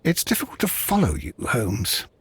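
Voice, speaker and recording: An actor playing a loyal, earnest British gentleman, a detective's companion in a video game, with a husky voice and a polite, slightly put-upon manner. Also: mid-range voice, huge pitch swings, normal speed, exaggerated voice. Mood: observing. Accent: British English. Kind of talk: video game character acting.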